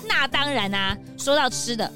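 Squeaky excitable detective's sidekick Peanut. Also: female voice, moderate pace, over music. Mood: delighted. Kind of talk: children's audio drama acting.

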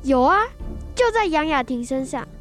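A man's voice: very high voice, animated, moderate pace, fairly bright tone, soft background music. A young boy playing a teasing, rude schoolboy classmate in a radio drama. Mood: mocking. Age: child.

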